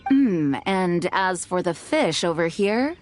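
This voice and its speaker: A woman playing a composed, kindly but firm knight commander in a video game, speaking in a measured, formal way. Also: lowish voice, expressive, music in the background. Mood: stern. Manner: measured, formal, gently authoritative.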